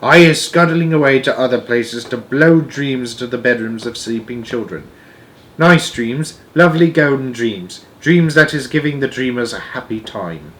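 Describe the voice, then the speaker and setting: A man voicing a gentle, wistful storybook giant with muddled, ungrammatical folksy speech. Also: mid-range voice, moderate pace, lightly acted, rough recording. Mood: earnest. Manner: ungrammatical, jumbled made-up words.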